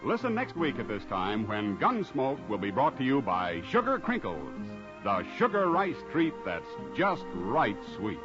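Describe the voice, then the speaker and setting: A man's voice: medium pitch, huge pitch swings, measured pace, hardly any pauses. A man with an American accent reading scripted credits as an announcer in 1950s radio style. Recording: dull old radio recording, music behind. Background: music.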